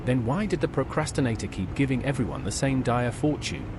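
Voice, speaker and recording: A man's voice: mid-range voice, animated, fairly quick, background noise, close to an everyday voice. A man playing an inquisitive adventurer in a fantasy game, with a plain-spoken, questioning manner. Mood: puzzled. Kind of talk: AI-voiced fantasy game dialogue.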